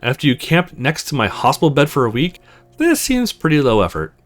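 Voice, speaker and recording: A man's voice: on the high side, wildly swooping pitch, brisk, soft background music. A man voicing a protective, teasing young sibling in a visual novel, in a casual, playful way. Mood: teasing, affectionate.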